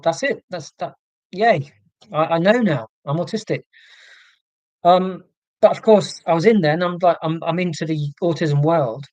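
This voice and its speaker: A man live streaming from home and reading chat comments aloud, talking in a rambling, halting way with many ums and restarts and a voice he calls monotonous. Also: fairly high pitch, dry recording, highs cut off. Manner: self-described monotonous voice.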